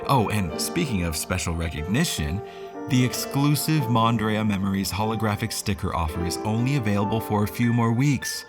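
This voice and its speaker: A man's voice: on the low side, lively intonation, moderate pace. A man hosting a podcast, giving a casual, scripted announcement to his listeners. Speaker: podcast host. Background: music.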